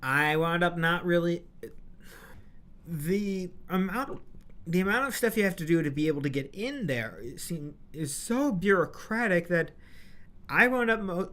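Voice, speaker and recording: A woman's voice: on the low side, a little slow, a bit bright. A young woman with hiccups recording audio drama narration, restarting lines and breaking into rambling, mildly exasperated asides. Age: young adult. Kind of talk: blooper outtakes, scripted narration with chatty asides.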